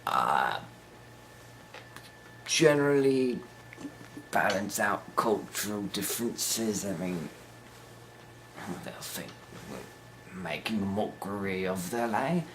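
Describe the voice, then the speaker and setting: A man's voice: lowish voice, animated, slow, long pauses, background noise. A teenage boy talking in a put-on British accent, rambling hesitantly through a joking mock interview with many ums and restarts.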